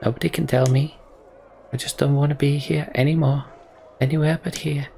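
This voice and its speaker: Man voicing a grieving mother, pitched up. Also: level tone, normal speed, creaky, dark tone, a little hiss or hum. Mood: bitter grief. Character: grieving, defeated mother fleeing the war.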